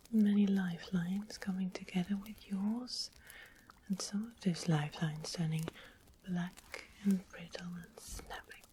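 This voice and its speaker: A woman playing a sweet, mysterious witch shopkeeper in a whispered ASMR fantasy roleplay, breathy, deliberate and coaxing. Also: on the low side, background noise. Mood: mysterious, foretelling. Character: sweet-smiling, secretly menacing witch shopkeeper, soothing and mysterious.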